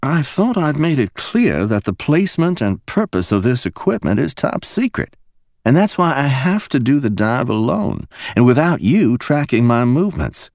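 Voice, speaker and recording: A man voicing a poised woman security officer who speaks softly and persuasively in a silky Alabama drawl. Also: mid-range voice, sing-song, moderate pace, vocal fry, no echo, studio quality, voice pushed up. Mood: silky, insistent. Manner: silky, soft-spoken.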